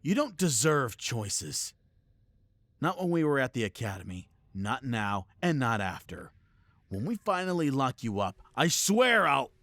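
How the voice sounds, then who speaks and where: A defiant male superhero, acted by a man in an audio drama. His mid-pitched voice moves around a lot, sardonic and earnest, with proud, loyal conviction. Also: big pitch swings, a little slow, fairly bright tone, no room sound. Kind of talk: scripted audio roleplay acting.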